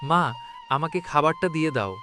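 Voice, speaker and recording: A man voicing a poor, kind village cowherd boy in a cartoon story, earnest and soft-hearted. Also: on the high side, animated, brisk. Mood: dutiful. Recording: music behind the voice.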